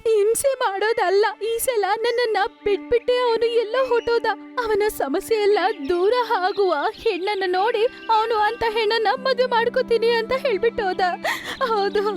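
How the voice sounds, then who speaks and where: Woman acting a very high-pitched nagging wife rabbit. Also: fairly even intonation, normal speed. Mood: aggrieved. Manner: sarcastic, nagging. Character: sharp-tongued scolding wife rabbit, quarrelsome and aggrieved. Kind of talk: cartoon voice acting.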